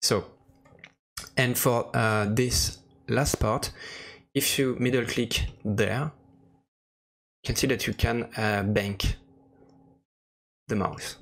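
Slow, gravelly man demonstrating software. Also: mid-range voice, fairly even intonation. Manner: hesitant, searching for words. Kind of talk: casual screen-recorded tutorial narration. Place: voice-over for a screen recording.

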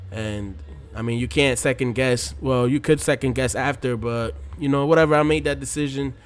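A man in his mid-twenties records casual commentary for a gaming video. His voice sits at an average pitch for a man. He addresses viewers in relaxed slang, rambling loosely from thought to thought.

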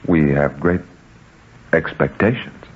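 An actor playing a protective, earnest husband and carpenter, grave and sincere in 1940s radio drama style. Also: male voice, extremely low pitch, slow, breathy edge, full character voice. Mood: guarded. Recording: muffled 1940s radio with music.

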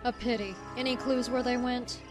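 A woman voicing a cold, sardonic bounty hunter in a comic dub, curt and deadpan. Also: a little high, moderate pace, natural voice. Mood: cold, businesslike. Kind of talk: comic dub, terse noir-like narration. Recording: loud music under the voice. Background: music.

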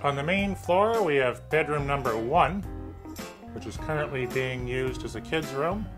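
A man's voice: mid-range voice, lively intonation, a little slow, music in the background. A middle-aged man presenting a property tour as a real estate agent, in an upbeat, scripted salesman's delivery.

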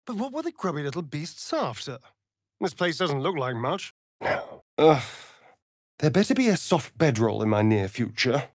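A man's voice: on the high side, big pitch swings, moderate pace, dry recording, clean studio sound. An actor playing a flamboyant, seductive, sardonic male vampire rogue in a video game, with an arch, drawling, mocking delivery. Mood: disdainful. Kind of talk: theatrical video game character acting.

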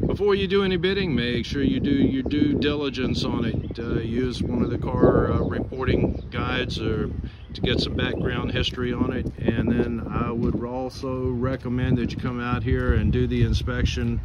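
A man's voice: mid-range voice, dull audio. A plain-spoken, folksy man narrating an informal walkaround as a vehicle auction presenter. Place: outdoor car lot. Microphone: handheld phone held by the speaker. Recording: clear outdoor ambient and vehicle noise.

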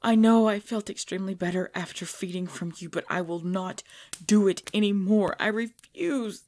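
A woman playing a tormented, devoted pregnant vampire in an intimate ASMR roleplay, halting and breathy, often dropping into a whisper. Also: mid-range voice, darkish tone, natural voice. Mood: pleading, firm. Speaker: voice actor in audio roleplay.

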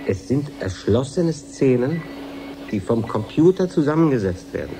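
An actor playing a matter-of-fact professor in a radio drama, explaining precisely and with self-assurance. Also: male voice, mid-range voice, very animated, moderate pace. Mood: confident, explanatory. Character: matter-of-fact male professor running an experiment. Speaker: scientist. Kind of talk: 1980s German radio drama.

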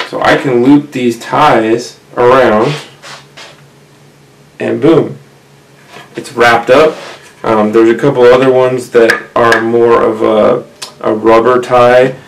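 A man's voice: mid-range voice, vocal fry, rough recording. A man, casually explaining fishing gear to camera from a small room, often asking "right?". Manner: conversational, addresses viewers as guys, frequent "right?". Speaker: outdoors channel host. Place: small indoor room, seated at desk.

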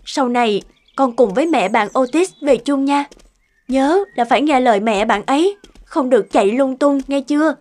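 A woman voicing a gentle, sorrowful young mother soothing a child in a cartoon. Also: high voice, moderate pace, natural voice. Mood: tender, sad. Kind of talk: Vietnamese cartoon dubbing. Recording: long reverb, music underneath.

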